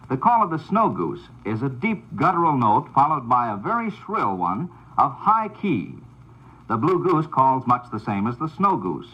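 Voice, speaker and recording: A man giving scripted, formal instructional narration on an old 78rpm record, in a measured, announcer-like delivery. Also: mid-range voice, sharp tone, breathy edge, muffled recording.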